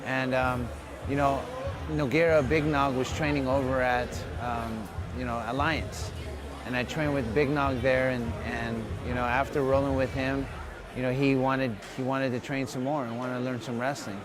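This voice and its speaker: A man's voice: mid-range voice, little pitch movement, moderate pace, music in the background. A man whose first language is Tagalog, a fighter answering interview questions in a loose, upbeat way, full of "you know" and "man.". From Philippines. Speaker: mixed martial arts fighter, interviewee. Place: gym.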